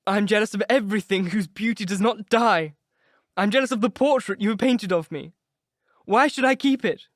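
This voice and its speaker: A man reading aloud, voicing a vain, beautiful young man who fears growing old, in an impassioned, high, cracking delivery. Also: measured pace, long pauses, no room sound, clean studio sound. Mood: anguished. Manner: impassioned, rising to anguished cries. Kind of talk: reading of a classic novel aloud.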